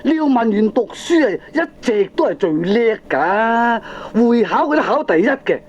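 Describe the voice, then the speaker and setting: A man playing an excitable, talkative middle-aged father in a Hong Kong Cantonese comic radio drama, earnest and emphatic. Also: very high voice, normal speed. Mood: excited, insistent. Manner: emphatic, chatty, exaggerated delivery. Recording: dull lo-fi cassette recording.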